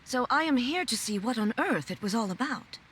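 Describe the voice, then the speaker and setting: Solemn, regal ruler, fairly high. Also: female voice, animated, fairly quick, fairly bright tone, slight background noise. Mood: matter-of-fact. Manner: formal, grave, stately. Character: solemn, regal female ruler, formal and dignified. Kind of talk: video game voice acting.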